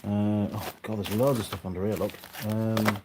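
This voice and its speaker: Low-voiced man rambling in a noisy workshop. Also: level tone, normal speed. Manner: muttering to himself, hesitant, meandering. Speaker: vlog host and motorcycle mechanic. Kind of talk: casual rambling workshop vlog. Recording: noisy, clicks and rustling of parts.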